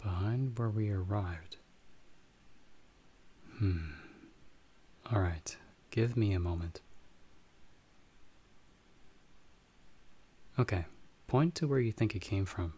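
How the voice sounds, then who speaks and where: A man playing a calm, guarded magic-wielding investigator in an ASMR audio roleplay, speaking in a measured, patient, instructive way with pauses. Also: lowish voice, husky. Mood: wary, serious.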